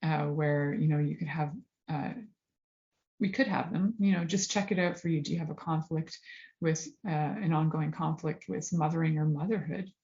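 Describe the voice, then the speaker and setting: A woman's voice: low voice, steady tone, moderate pace, warm tone. A woman speaking candidly in a casual monologue, rambling and correcting herself, often laughing. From Serbian family background. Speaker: host. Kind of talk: casual monologue on health and sexuality. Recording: dull lo-fi sound.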